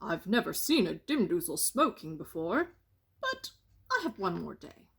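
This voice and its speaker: An eager young fairy-tale prince muses aloud as a woman storyteller plays him. The voice is husky and unhurried, with declamatory storybook phrasing. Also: lowish voice, wildly swooping pitch, exaggerated voice. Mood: musing.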